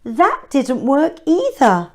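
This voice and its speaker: A woman's voice: high-pitched, huge pitch swings, takes it slowly, a little mellow. A woman reading a children's story aloud expressively, with chatty questions and asides for young listeners.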